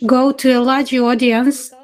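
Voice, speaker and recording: Woman, fairly high voice, live interpreter, halting phrase-by-phrase delivery. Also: flat delivery.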